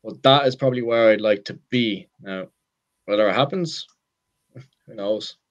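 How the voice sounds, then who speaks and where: A man's voice: on the low side, normal speed, muffled recording. A man in his twenties with an Irish accent, talking casually as an interview guest, rambling and self-deprecating with lots of restarts and "I suppose". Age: 20s.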